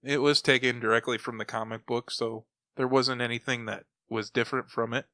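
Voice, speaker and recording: A man's voice: mid-range voice, little pitch movement, a little fast, sharp tone, no echo. A man in casual podcast conversation, giving his opinions as a panelist. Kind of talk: casual chat, critique.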